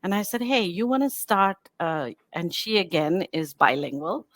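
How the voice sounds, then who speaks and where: Lively middle-aged woman, British-learned English. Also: lowish voice, normal speed, no echo, clean studio sound. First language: English learned in British form, also speaks Hindi. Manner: animated storytelling with laughs and quoted asides. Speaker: guest, bilingual book creator. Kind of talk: casual interview chat.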